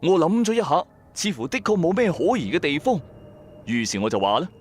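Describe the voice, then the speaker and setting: Animated male storyteller with suspenseful delivery. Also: fairly high pitch, a little fast, husky, faint music behind. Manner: colloquial, suspenseful. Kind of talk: dramatic first-person horror story narration.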